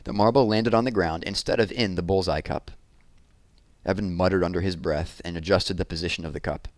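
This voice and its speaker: Low-voiced man reading a children's book aloud. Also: brisk, no room sound. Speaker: read-aloud narrator. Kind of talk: expressive children's chapter book read-aloud.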